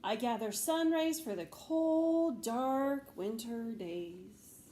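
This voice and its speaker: A woman voicing a calm, dreamy little male field mouse poet in a storybook, speaking slowly and simply. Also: a little high, reverberant, slight background noise. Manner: slow, deliberate, simple delivery.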